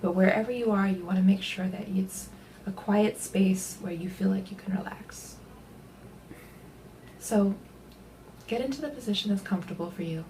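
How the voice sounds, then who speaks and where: A woman leading a guided body scan meditation, speaking gently and unhurriedly in a soothing way, with soft, breathy phrase endings. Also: mid-range voice, normal speed, slight background noise, low-quality recording.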